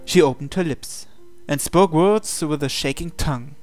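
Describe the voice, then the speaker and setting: Higher-voiced man reading dramatic narration. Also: moderate pace, faint music behind. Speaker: visual novel reader.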